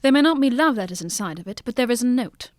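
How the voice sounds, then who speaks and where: Woman playing a shrewd, high-voiced gentleman detective. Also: expressive, dry recording, lightly acted. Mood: knowing calm. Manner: formal, measured, old-fashioned British gentlemanly phrasing. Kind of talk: old-fashioned detective radio drama acting.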